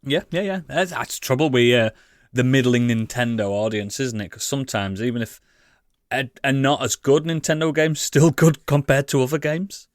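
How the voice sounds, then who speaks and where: A man's voice: mid-range voice, moderate pace, no echo, studio quality. A British man co-hosting a podcast in casual banter, blunt, teasing and sweary. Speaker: podcast co-host.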